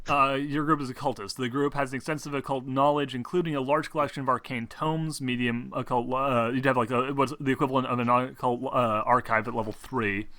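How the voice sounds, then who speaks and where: Bright-voiced man running a tabletop game. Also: mid-range voice, fairly even intonation, brisk, hardly any pauses, dry recording. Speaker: game master of a tabletop role-playing game. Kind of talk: explaining game rules casually to players.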